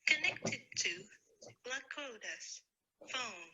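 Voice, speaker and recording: A woman's voice: high voice, sing-song, takes it slowly, sharp tone, exaggerated voice, poor audio. A woman playing a repetitive automated Bluetooth car assistant in a table read of a stage play, with a stilted announcement delivery. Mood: automated, insistent.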